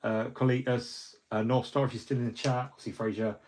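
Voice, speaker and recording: A man's voice: medium pitch, little pitch movement, fairly quick, darkish tone. A middle-aged man, hosting a hobby livestream in a rambling, friendly way, full of ums and restarts, a little fluey. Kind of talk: casual livestream chat.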